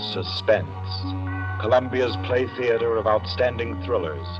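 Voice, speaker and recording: A man playing a suave announcer and narrator of a 1940s radio suspense play, with measured, dramatic delivery. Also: medium pitch, close to an everyday voice. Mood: suspenseful. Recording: dull old 1940s radio recording, music behind. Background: dramatic music.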